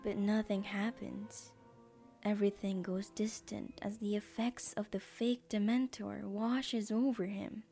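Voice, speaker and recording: A woman's voice: mid-range voice, flat delivery, unhurried, vocal fry, warm tone, soft background music. A woman narrating an audio drama in a scripted storybook style.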